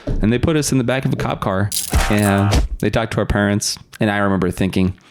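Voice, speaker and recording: Low-voiced guy, russian is his first language, telling stories casually on a podcast, chuckles a lot. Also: fairly quick, background noise. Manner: informal, chuckles often while talking. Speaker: podcast guest. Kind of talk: casual storytelling in conversation.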